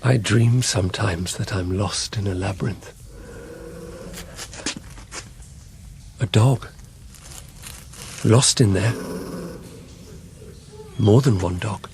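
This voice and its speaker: An actor playing a dreamy, curious emperor in a radio drama, speaking in a measured, stately, wondering way. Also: male voice, on the low side, vocal fry, a little mellow, a little hiss or hum. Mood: awed, dreamy.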